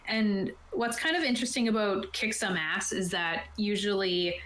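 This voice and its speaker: A woman's voice: mid-range voice, moderate pace, fairly bright tone. A woman game master casually explaining tabletop game rules in an informal, conversational way. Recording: roomy, echoey voice sound.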